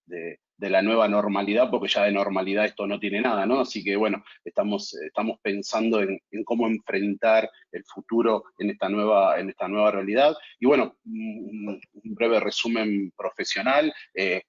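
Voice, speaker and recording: Middle-aged Argentine panelist, deep husky voice, halting delivery, dull call audio. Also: male voice, steady tone. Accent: Argentine Spanish. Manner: measured, halting with many repeated words. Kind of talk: webinar panel introduction.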